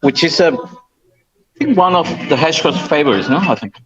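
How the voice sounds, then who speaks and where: A man reporting casually from a busy restaurant over a video call, talking haltingly with many restarts. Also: fairly high pitch, animated. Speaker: on-site reporter. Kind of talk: casual chat. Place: crowded restaurant. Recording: muffled call line in busy room. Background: crowd chatter.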